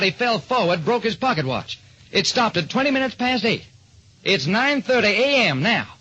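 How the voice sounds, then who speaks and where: A man's voice: high voice, pushed and bright, slightly rough, voice pushed up, exaggerated voice. A man playing a cocksure, hasty homicide lieutenant with brash, snappy talk in an old radio detective drama. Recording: dull old-time radio recording.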